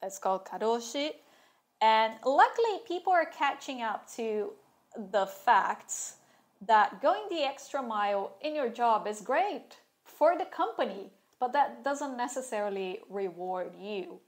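Slow-talking wry woman vlogger. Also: on the high side, animated. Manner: candid and wry, with sarcastic asides. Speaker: vlogger sharing her fashion school experience. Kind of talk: casual talking-head vlog. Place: small plain room indoors, seated at a desk.

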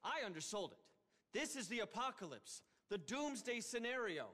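Pompous self-styled visionary billionaire, thirties, high voice, wildly swinging delivery, indignant, video game cutscene. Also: male voice, big pitch swings, moderate pace, sharp tone, heavily acted. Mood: alarmed, exasperated. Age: 30s. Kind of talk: animated game cutscene acting.

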